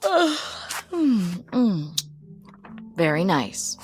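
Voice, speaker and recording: An actress playing a breezy, casual wife in a radio drama. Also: female voice, mid-range voice, very animated, extremely slow, slightly rough, exaggerated voice. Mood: distracted, dismissive. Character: breezy, dismissive wife humoring her agitated husband. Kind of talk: modern radio drama acting. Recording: faint music behind the voice.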